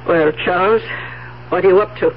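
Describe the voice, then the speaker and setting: An actress as a tart, suspicious old aunt. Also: female voice, lowish voice, moderate pace, slight background noise, natural voice. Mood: wary. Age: older. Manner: tart, clipped, accusing. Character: suspicious, stubborn old aunt living alone. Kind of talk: 1970s radio drama acting. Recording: muffled, humming old radio recording.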